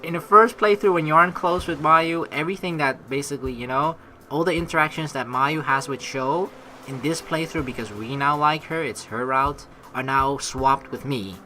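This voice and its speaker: A woman's voice: sits low, moderate pace, bright, forceful tone, a little hiss or hum. A woman hosting a gaming video, reading game narration aloud and reacting in a chatty, theatrical way. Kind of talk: let's play commentary and read-aloud game narration. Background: faint game music.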